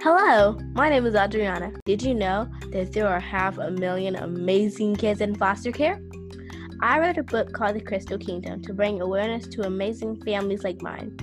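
A woman's voice: mid-range voice, moderate pace. Woman reading a book ad over music. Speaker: ad speaker. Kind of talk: read-out charity book ad.

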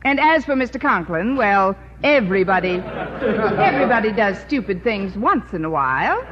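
An actress playing a wisecracking English teacher in a 1950s radio sitcom, with a dry, sardonic delivery on a muffled old broadcast recording. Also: female voice, mid-range voice, very animated, moderate pace, a crowd in the background, background noise, close to an everyday voice. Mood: wry. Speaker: schoolteacher lead in radio sitcom.

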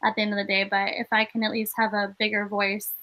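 Bright-voiced chatty young woman. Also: medium pitch, monotone, fast talker. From Maine. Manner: chatty, lots of "like" fillers. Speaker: guest, actress and comedian. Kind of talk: casual interview chat.

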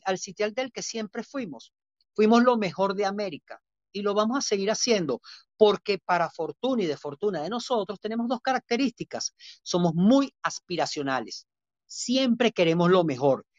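A man's voice: high voice, dry recording. A man with a Venezuelan accent, a guest chef in an interview, talking in a proud, emphatic, lecturing way. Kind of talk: interview talk. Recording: dull, dry lo-fi recording.

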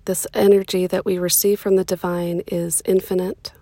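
Soft slow lady doing a guided meditation, gravelly fry, really mellow, close dry sound. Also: lowish voice, flat delivery, no echo. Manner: soothing, unhurried, pausing between short phrases.

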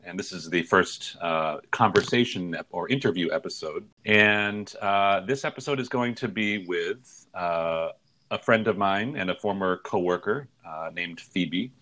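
A man hosting a casual interview podcast, talking in a relaxed, hesitant way with many fillers. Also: deep voice, measured pace. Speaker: podcast host.

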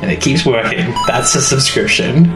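A man's voice: medium pitch, normal speed, music in the background. Man hosting a podcast in echoey room. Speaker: podcast host. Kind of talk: casual chat about a TV series. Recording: echoey room, faint background noise.

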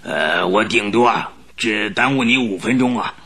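An actor playing a pompous, irritable old duke who grunts and clears his throat in a radio drama. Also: fairly low pitch, moderate pace, sharp tone, heavily acted. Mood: grumbling. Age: older.

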